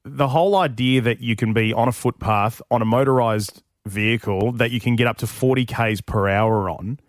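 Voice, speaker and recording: A man's voice: medium pitch, moderate pace, no echo. An Australian man co-hosts a radio show with casual chat and opinion. His mid-range voice comes through close and dry on a studio microphone.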